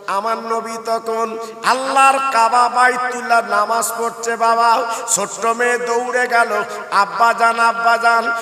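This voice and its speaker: A man's voice: very high-pitched, sharp tone. A man delivering an emotive, half-chanted storytelling sermon as an Islamic preacher, impassioned and plaintive, calling out to his listeners. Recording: echoey reverberant public-address sound.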